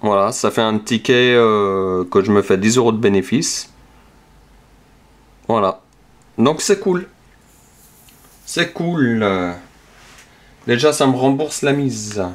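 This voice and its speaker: A man calmly talks through each number as he scratches lottery tickets, casual and methodical. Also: medium pitch, slow pace, pauses often. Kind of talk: casual narration while scratching cards. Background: scratching sounds.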